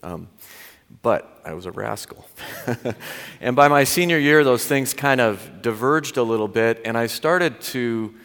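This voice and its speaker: A man's voice: mid-range voice, animated, measured pace, a bit bright. A middle-aged man from the Pacific Northwest, a college president giving a candid, reflective personal testimony with a self-deprecating streak. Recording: large hall with long reverb.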